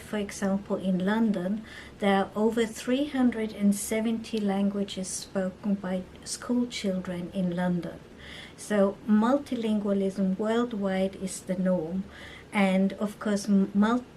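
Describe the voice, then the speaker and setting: A woman whose first language is Austrian German speaks English with that accent, at an unhurried pace. She is a university academic talking in a documentary interview, thoughtful and halting, pausing often on fillers. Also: mid-range voice, dark tone, slight background noise, rough recording.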